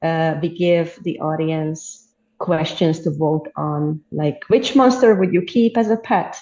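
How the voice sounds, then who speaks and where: Low, mellow woman, roomy sound. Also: measured pace, highs cut off. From Hungary. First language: Hungarian. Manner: thoughtful, easy laughs, restarts mid-sentence. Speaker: storyteller guest. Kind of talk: casual interview chat.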